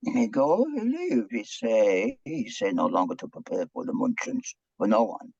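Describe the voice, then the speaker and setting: A man playing a gloomy, self-pitying, excitable Austrian psychiatrist in a play reading, lamenting dramatically in broken English with an Austrian accent. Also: medium pitch, normal speed, vocal fry, slightly rough, dry recording, highs cut off, exaggerated voice. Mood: despondent.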